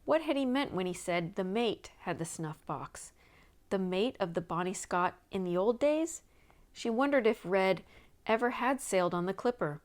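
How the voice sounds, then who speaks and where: A woman's voice: mid-range voice, lively intonation, normal speed. A woman narrating a children's mystery novel as a scripted read-aloud. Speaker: audiobook reader.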